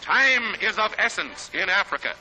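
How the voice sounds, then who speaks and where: A man's voice: sits high, sing-song, normal speed, rough recording. An American man narrating a 1940s newsreel in a dramatic, declamatory manner. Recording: 1940s newsreel soundtrack with loud music.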